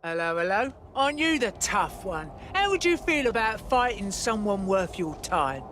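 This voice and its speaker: Lively, cheeky fight promoter woman. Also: mid-range voice, moderate pace, a little hiss or hum. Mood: excited hype. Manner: hyping, persuasive. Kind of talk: game cutscene dialogue.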